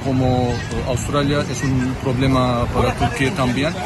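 A man who is not a native speaker answering interview questions slowly, with many pauses and fillers and broken grammar. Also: medium pitch, little pitch movement, a loud crowd, very noisy. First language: not Spanish. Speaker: interviewed football guest. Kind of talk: halting interview answers in a second language.

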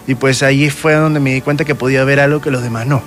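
A man's voice: mid-range voice, steady tone, fast talker, fairly bright tone, faint music behind. A man with a Venezuelan accent, a guest telling personal stories conversationally and often checking that the listener follows. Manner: frequent check-in tags. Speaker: guest, self-described medium.

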